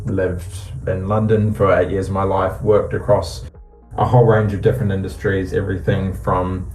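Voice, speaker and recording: A New man with a fairly low, mellow voice speaks as an interview guest, easy-going and full of ums and restarts. His voice sits in a roomy, echoey space, with faint music underneath. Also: moderate pace. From New Zealand. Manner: relaxed, many ums and restarts. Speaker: guest, company CEO. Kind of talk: casual interview on a voice call.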